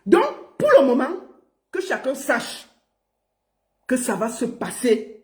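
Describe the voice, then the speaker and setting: A man speaking as a political commentator, pleading and repeating himself, and rising to shouts. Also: very high voice, sing-song, slow. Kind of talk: impassioned political monologue. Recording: roomy echo.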